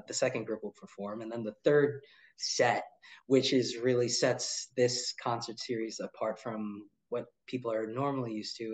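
A man in his thirties, an arts director, answering interview questions in a relaxed way with many ums and uhs. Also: mid-range voice. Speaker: arts nonprofit director, guest.

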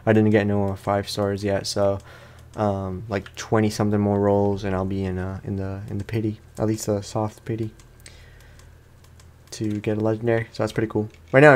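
A man's voice: lowish voice, darkish tone. A man giving casual, rambling, slangy commentary as he plays a video game for his viewers. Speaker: gaming streamer. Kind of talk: casual let's play commentary.

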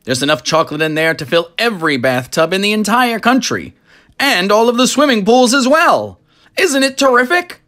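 Narrator as a flamboyant, excitable male showman. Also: mid-range voice, pitched above the performer's own voice. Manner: theatrical, exclamatory. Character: flamboyant, excitable, boastful chocolate factory owner, man.

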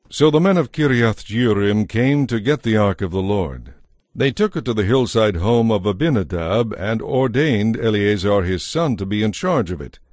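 Guy narrating an audio bible, scripted read, lowish voice, super dry studio sound. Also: a little mellow, dry recording.

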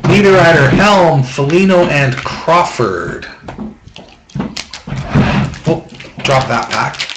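A man's voice: a little high, expressive. A man hosting a card break, reading off names as he opens packs, with offhand jokes between. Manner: quick list-reading with offhand jokes and complaints. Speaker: box break host. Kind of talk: reading out trading cards while opening packs. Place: small room at home, table. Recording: echoey room, faint background noise.

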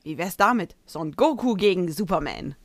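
A woman's voice: fairly low pitch, animated, moderate pace, dry recording. A woman playing a mischievous winged unicorn princess in a fan audio story, with a casual, playful manner. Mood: casual, cheeky.

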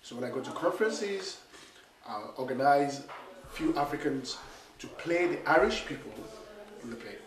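A man's voice: a little high, normal speed, far from the mic, crowd noise. A man in his forties with a cold, talking nasally and animatedly straight to the camera on a small theatre stage. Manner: animated storyteller, gestures with his hands. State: has a cold. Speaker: playwright and director. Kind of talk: direct-to-camera talk about his play. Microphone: camera mic from a few metres. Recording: echoey room, voice from off-mic.

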